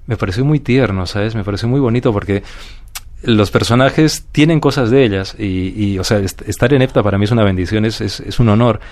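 A man with a Peruvian accent, a guest speaking calmly and reflectively in an interview, often restarting and asking "you know?". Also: fairly low pitch, fairly quick. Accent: Peruvian Spanish. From Peru. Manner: calm, thoughtful, many restarts and "¿sabes?". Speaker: guest, paranormal investigator.

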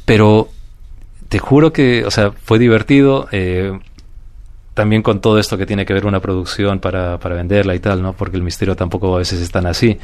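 A man with a Peruvian accent, a guest speaking calmly and reflectively in an interview, often restarting and asking "you know?". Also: low voice, normal speed. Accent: Peruvian Spanish. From Peru. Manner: calm, thoughtful, many restarts and "¿sabes?". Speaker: guest, paranormal investigator.

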